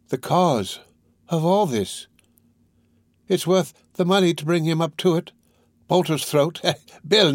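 A man's voice: fairly high pitch, dry recording, voice pushed up. A deranged old condemned man. He is terrified and feverish, drawing words out and breaking into cries. The sound is dry and intimate. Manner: muttering, feverish, broken speech with cries and drawn-out words. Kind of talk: dramatic audiobook character reading.

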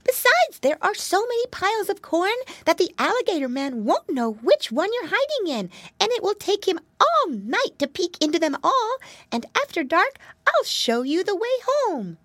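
Tiny squeaky little girl mouse. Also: very animated, normal speed, fairly bright tone, no echo, full character voice. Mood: urgent, helpful.

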